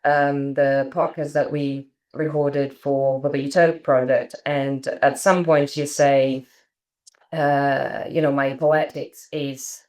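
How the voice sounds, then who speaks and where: A woman with a notably low voice asks a question in a conversational discussion. She phrases it tentatively, with false starts. Her manner is polite and unhurried. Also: little pitch movement, a little mellow. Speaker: roundtable participant asking a question.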